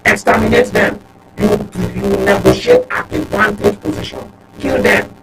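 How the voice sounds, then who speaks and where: A man's voice: sits high, animated, moderate pace, gravelly, over loud music, low-quality recording. A man with a Nigerian accent giving impassioned political commentary as a radio panelist, emphatic, with rhetorical questions and repeated phrases. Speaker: studio panelist on a political radio talk show.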